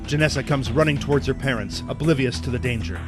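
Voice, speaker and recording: A man's voice: mid-range voice, fast talker, over loud music, lightly acted. A man performing scripted, dramatic narration for a superhero audio drama.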